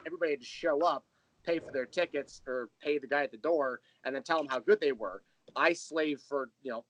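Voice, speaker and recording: A man's voice: fairly high pitch, fairly bright tone, no room sound, rough recording. An American man being interviewed, telling personal anecdotes in a wry, wordy, informal way. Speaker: interview guest, audio drama creator. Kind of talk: casual chat, personal anecdotes.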